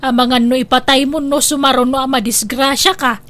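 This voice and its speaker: A woman's voice: high voice, steady tone, a little fast, a bit bright. A woman with an Ilocano accent playing a fretful, fussing grown daughter in an amateur comedy drama. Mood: scolding. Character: fretful, scolding grown daughter of old father.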